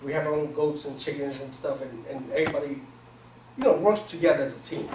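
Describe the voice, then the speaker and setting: A man in his forties speaks informally to a small group as a seminar speaker, with streetwise phrasing and English as a second language. His voice sits a little high. It is caught from across an echoey conference room with faint noise. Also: fast talker. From Pakistan, grew up in Virginia, US. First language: not English. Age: 40s. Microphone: far camera microphone. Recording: echoey room, distant camera mic, noisy.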